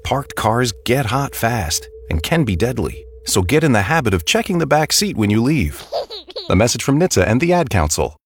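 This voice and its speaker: A man narrating a public service safety ad in an expressive, conversational way. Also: medium pitch, normal speed, a little hiss or hum. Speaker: announcer.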